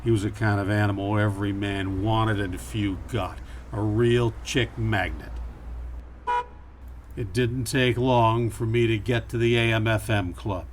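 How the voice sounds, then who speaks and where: A man playing a wry, world-weary private detective, narrating deadpan in a campy noir radio play. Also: lowish voice, unhurried, a little hiss or hum, close to an everyday voice. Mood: wry narration.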